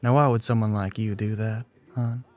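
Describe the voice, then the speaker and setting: A man playing a smug, flirty, middle-aged bartender with a Southern US accent in an intimate ASMR roleplay, smooth-talking and often dropping toward a whisper. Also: on the low side, little pitch movement, normal speed, a little mellow, lightly acted. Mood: earnest, coaxing. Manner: drawling, smooth-talking, pet names, often breathy near-whisper.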